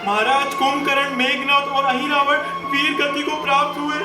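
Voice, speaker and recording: A man's voice: very high-pitched, flat delivery, moderate pace. A man playing an alarmed, deferential palace gatekeeper in a theatrical mythological drama. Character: alarmed palace gatekeeper bringing grim news. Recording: echoey playback with loud music.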